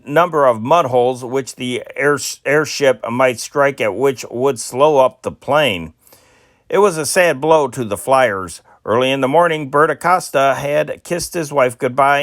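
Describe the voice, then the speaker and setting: A man's voice: mid-range voice, dry recording, studio quality. Amateur man reading old news. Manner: amateur reading, occasional stumbles and restarts. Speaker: news reader. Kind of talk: reading old newspaper stories aloud.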